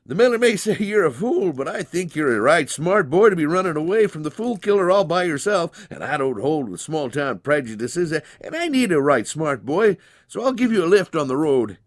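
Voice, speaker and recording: A man's voice: a little high, big pitch swings, normal speed, no echo, studio quality, voice pushed up. A man voicing a jovial, breezy, folksy traveling herb doctor in a story. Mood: jovial, persuasive.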